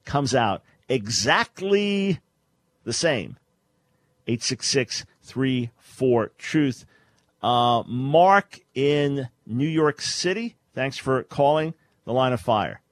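A man's voice: mid-range voice, very animated, slow, dry recording. A man hosting a call-in radio show, explaining Bible languages in a scholarly way with frequent restarts. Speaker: radio talk show host.

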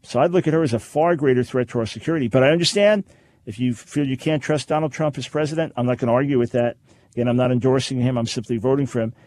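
A man hosting a call-in radio show, explaining his views to callers in a measured way and repeating phrases for emphasis. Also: mid-range voice, steady tone, a little mellow, dry recording. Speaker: radio talk show host. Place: radio studio. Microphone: studio microphone.

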